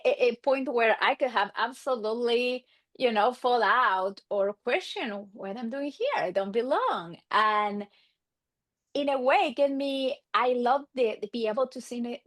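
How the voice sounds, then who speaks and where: A woman's voice: a little high, no echo. A middle-aged woman from Argentina, a native Spanish speaker with an Argentine accent, telling stories casually as an interview guest and acting out quoted exchanges. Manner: animated, acts out quoted exchanges. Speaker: guest, marriage and family therapist.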